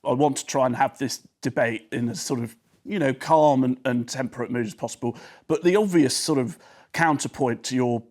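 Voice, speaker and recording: A man's voice: mid-range voice, moderate pace, darkish tone. Argumentative man with stammering restarts. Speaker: panelist. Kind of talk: heated studio debate. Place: TV studio.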